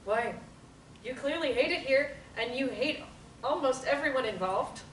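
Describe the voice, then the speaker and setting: A woman at a table reading, playing a composed, self-assured woman in group therapy who speaks calmly and with polite bite. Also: sits high. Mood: measured. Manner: calm, measured, politely cutting. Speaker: table-read actor. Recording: roomy, distant mic, background noise.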